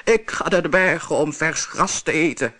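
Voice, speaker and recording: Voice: mid-range voice, bright, forceful tone, husky. A reader voicing a big, fearless male goat who speaks with a heavy voice in a storybook tale. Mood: defiant. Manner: slow, heavy, confident.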